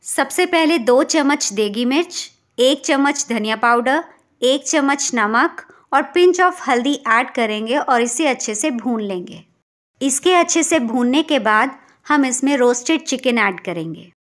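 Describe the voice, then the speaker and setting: A woman's voice: high-pitched, lively intonation, unhurried, a bit bright. A woman from India hosting a cooking channel, narrating a recipe step by step in an instructional way.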